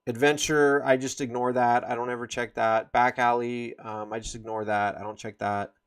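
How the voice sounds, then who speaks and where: A man's voice: mid-range voice, level tone, normal speed, clear, resonant tone. A man giving an informal, rambling walkthrough of a game, explaining details as he goes. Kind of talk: informal screen-share game tutorial.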